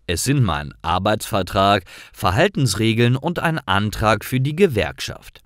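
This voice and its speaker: Guy narrating easy stories for language learners, lowish voice, dry sound, no room. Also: animated, unhurried, no room sound.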